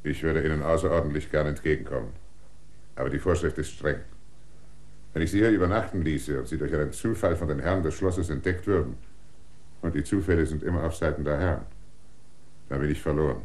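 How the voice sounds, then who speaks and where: Förmlicher wirt, ängstlich wegen der vorschriften, ganz tiefe stimme, hörspiel. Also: male voice, very deep voice, a little fast, pauses often, darkish tone. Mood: politely regretful. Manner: formal, politely regretful. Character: formal, anxious male innkeeper, rule-bound and apologetic. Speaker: innkeeper character. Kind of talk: radio play acting.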